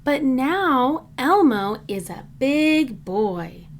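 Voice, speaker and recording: A woman reads a picture book aloud for small children. Her voice sits fairly high and swings expressively up and down. She takes the simple sentences very slowly, phrasing each one deliberately. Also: big pitch swings. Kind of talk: read-aloud children's storybook narration.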